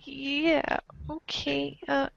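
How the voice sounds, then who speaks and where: Woman as a halting high-voiced awkward troll teen. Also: level tone, gravelly, fairly bright tone, highs cut off, heavily acted. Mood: hesitant. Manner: halting, stammering, full of ums. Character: hesitant, awkward alien troll teen, easily flustered. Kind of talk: amateur comic script reading.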